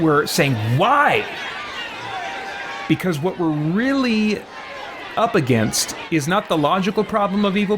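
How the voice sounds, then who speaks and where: Halting, thoughtful man over noisy gameplay. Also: medium pitch. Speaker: let's play host. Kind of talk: philosophical commentary over gameplay.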